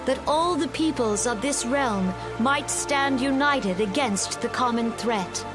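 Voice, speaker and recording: An actress playing a solemn female forest leader giving a measured, formal ceremonial speech in a fantasy game. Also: sits high. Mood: solemn, resolute. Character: gentle, solemn female forest elder leader addressing her people. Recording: music and rain behind the voice.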